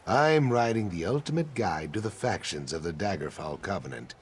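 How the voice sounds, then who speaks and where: An actor playing a boastful, condescending scholar in a video game. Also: male voice, low voice, lively intonation, normal speed, breathy edge, exaggerated voice. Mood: smug. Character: dismissive male scholar mage writing guidebooks.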